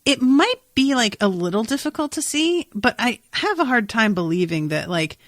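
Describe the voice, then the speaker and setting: A woman's voice: fairly high pitch, moderate pace, no room sound, studio quality. A woman hosting a podcast, casually recapping a TV episode in a wry, sweary way and acting out bits of dialogue. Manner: wry, sweary, animated retelling with acted-out quotes. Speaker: podcast host.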